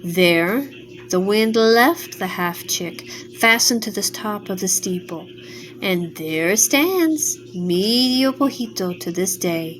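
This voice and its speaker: An older woman, a grandmother, reading a children's bedtime story aloud in a gentle, expressive way. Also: mid-range voice, slow, a little hiss or hum.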